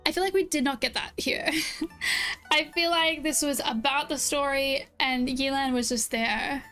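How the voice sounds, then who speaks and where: A young woman with a high-pitched voice gives casual, chatty reactions as a game streamer. She is opinionated and informal, with faint game music underneath. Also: unhurried, sharp tone. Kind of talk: casual gameplay reaction and review.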